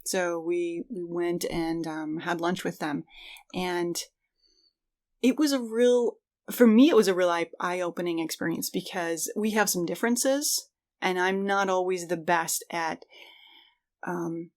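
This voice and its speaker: A woman's voice: fairly low pitch, unhurried, darkish tone. A middle-aged woman co-hosting a podcast, talking in a casual, candid and reflective conversational way. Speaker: podcast co-host.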